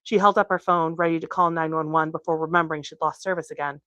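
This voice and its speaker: A woman reads fiction aloud in an expressive storytelling style. Her voice sits on the low side, and the sound is close and dry, with little room in it. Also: quick pace, no room sound. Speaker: author reading from a novel. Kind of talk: expressive audiobook-style reading. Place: bookstore reading.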